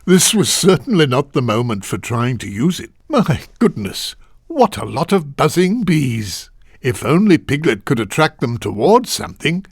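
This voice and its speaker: A man voicing a kindly, genteel storybook narrator in a children's game, speaking in a deliberate, expressive, old-fashioned way. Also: medium pitch, creaky, husky, no room sound, studio quality, exaggerated voice. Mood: mildly amazed.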